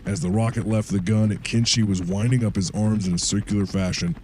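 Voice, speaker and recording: A man playing a blunt, gruff war veteran with a grudge in an audio drama. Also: lowish voice, steady tone, fairly quick, warm tone, close to an everyday voice. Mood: bitter, intense. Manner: blunt, swearing war storyteller. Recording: battle sound effects, faint noise.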